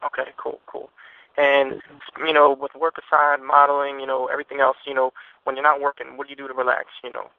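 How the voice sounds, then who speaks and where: A man's voice: medium pitch, monotone, brisk, dry recording. A man conducting a casual interview over the phone, informal and laid-back in his wording. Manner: laid-back, informal, frequent short acknowledgements. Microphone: telephone. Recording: muffled narrow phone line.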